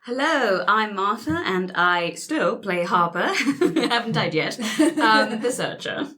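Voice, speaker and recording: A woman's voice: mid-range voice, very animated, slow pace, sharp tone. A woman chatting casually as a player on a role-playing podcast. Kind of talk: casual chat.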